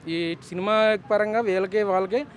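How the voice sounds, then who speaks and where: High-voiced man explaining his view. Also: fairly even intonation, moderate pace, a little hiss or hum. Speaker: member of the public giving opinion. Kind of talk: street interview opinion.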